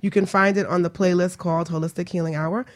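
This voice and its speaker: A woman's voice: lowish voice, steady tone, quick pace. A woman co-hosting a talk radio show, chatting casually and joking, with frequent laughs and restarts.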